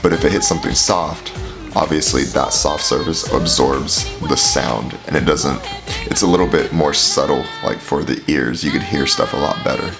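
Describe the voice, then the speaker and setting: Raspy, very low-voiced male reaction host, casual explainer, song loud in the background. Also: normal speed. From California. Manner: rambling, filler-heavy explaining. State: hoarse from allergies. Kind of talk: casual vocal analysis commentary. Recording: music playing behind voice.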